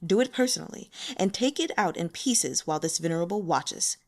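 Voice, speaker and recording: A woman's voice: lowish voice, animated, darkish tone. Woman reading as a cool, commanding demon lord. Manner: measured, formal, cool.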